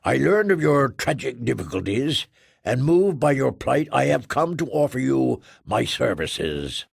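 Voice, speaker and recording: A man voicing a huge, ponderous male space trader who speaks in a slow, formal, pompous way. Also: mid-range voice, big pitch swings, gravelly, breathy edge, no room sound, studio quality, full character voice. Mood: stately. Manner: slow, stately, pompous phrasing. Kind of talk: audiobook character voice.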